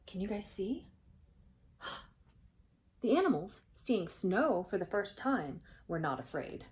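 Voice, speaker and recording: A woman reads a picture book aloud for young children. She tells the story expressively, in an animated manner, and often turns to her listeners with a question. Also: mid-range voice, takes it slowly.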